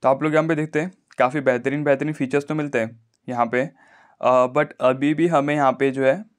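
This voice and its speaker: A man with a middle-pitched voice walks viewers through a phone tutorial. He speaks casually, like a YouTuber explaining a screen recording. He often checks that the point is understood. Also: steady tone, fairly quick.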